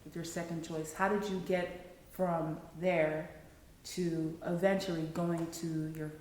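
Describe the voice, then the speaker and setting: A woman's voice: lowish voice, little pitch movement, slow pace, darkish tone. A woman hosting an interview, asking upbeat, encouraging questions. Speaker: interview host. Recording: echoey room.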